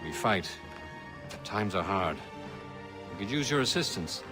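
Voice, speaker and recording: A weary but earnest veteran rebel leader for a video game. The voice sits fairly low, with measured oratorical phrasing. Music plays clearly underneath. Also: male voice, expressive. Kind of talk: video game cutscene acting. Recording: loud background music.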